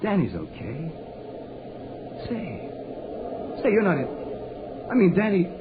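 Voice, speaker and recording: An actor voicing a breezy, wisecracking young soldier just home from the war, in a tinny, hissy 1940s radio drama. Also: male voice, high-pitched, huge pitch swings, takes it slowly, heavily acted. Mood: coaxing. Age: young adult.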